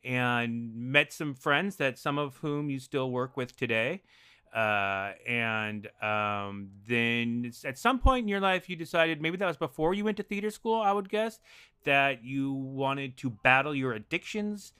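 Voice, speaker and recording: A man talking loudly and animatedly as a guest on a casual podcast chat. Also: mid-range voice, a little slow, clean tone. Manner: naturally loud, animated storytelling. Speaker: podcast guest, improv performer.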